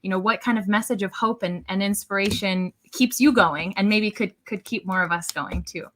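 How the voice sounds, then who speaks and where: A woman with a very bright, forward voice at a medium pitch. She moderates a discussion in a conversational style, as an interviewer would. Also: normal speed, slight background noise.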